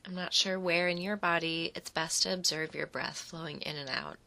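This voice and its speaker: Low-pitched, creaky-voiced woman guiding meditation. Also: sharp tone. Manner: soothing, unhurried, with short phrases and pauses. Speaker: guided relaxation narrator. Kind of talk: guided imagery meditation.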